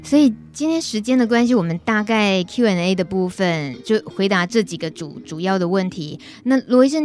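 A woman's voice: on the low side, darkish tone. A woman with a Taiwanese Mandarin accent hosting a radio interview in a conversational style. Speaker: radio show host.

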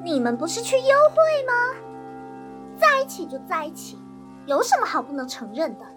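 A woman voicing a combative, sharp-tongued young student suspect in a mystery radio drama. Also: very high-pitched, sing-song, moderate pace, faint music behind, exaggerated voice. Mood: accusing, scornful. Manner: confrontational, accusatory.

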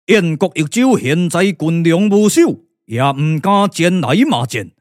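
Slow, imperious Taiwanese villain sage. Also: male voice, fairly high pitch, lively intonation, no room sound, clean studio sound. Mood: haughty, brooding. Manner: pompous, drawn-out declamation. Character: imperious, vengeful ancient male Taoist sage, villainous. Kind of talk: Taiwanese puppet-theatre radio drama.

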